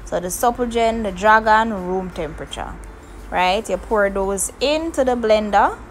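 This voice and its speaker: A woman's voice: medium pitch, a little slow, slight background noise. Chatty woman teaching a recipe. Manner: chatty, repeats "okay, guys" and "right?". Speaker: cooking show host. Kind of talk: cooking tutorial narration.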